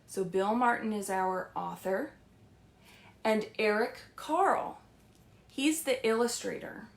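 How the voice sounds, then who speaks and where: A woman with a mid-pitched voice leads a story time for young children in a roomy, echoey space. She sounds gentle and reassuring, putting things simply, as a children's librarian does on a livestream.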